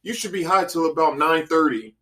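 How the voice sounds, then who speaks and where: A man's voice: a little high, lively intonation, a little fast, a bit bright. A man, a podcast guest, telling a story in casual chat, acting out his own reactions and swearing freely. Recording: voice a bit distant from the mic.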